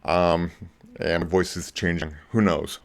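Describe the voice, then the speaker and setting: A man in his forties hosting a casual live stream about movies, talking in a rambling way with lots of ums and restarts, his voice a bit lower than usual. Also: flat delivery, unhurried, vocal fry. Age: 40s. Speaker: movie channel host.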